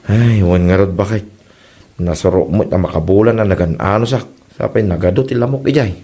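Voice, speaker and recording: A man's voice: low voice, a little fast, warm tone. A man playing a teasing, easygoing older village husband in an Ilocano comedy drama, with an Ilocano Filipino accent. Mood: casual, grumbling.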